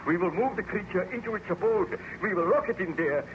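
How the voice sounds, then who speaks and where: A man's voice: sits high, brisk, close to an everyday voice. A man acting an obsessed, commanding scientist in old-time radio melodrama, with a grandiose and formal theatrical delivery. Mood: commanding, urgent. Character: obsessed, triumphant male scientist, commanding master. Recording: muffled, noisy vintage radio recording.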